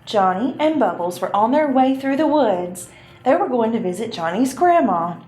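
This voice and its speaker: A woman's voice: on the high side, lively intonation, normal speed, darkish tone. A woman narrating a children's puppet show in a scripted storytelling style. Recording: echoey room with music behind.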